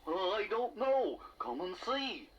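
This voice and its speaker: A man's voice: high-pitched, sing-song, slow, highs cut off, slight background noise, heavily acted. A man voicing a shy, lovestruck creature in a children's storybook recording, hesitant and bumbling in manner. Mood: worried. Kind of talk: children's storybook cassette acting with songs. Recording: 1980s cassette with loud music behind.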